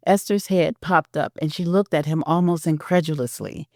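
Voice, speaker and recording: A woman's voice: low voice, steady tone, normal speed, darkish tone, dry recording, studio quality. A woman narrating a scripted audio drama as storyteller.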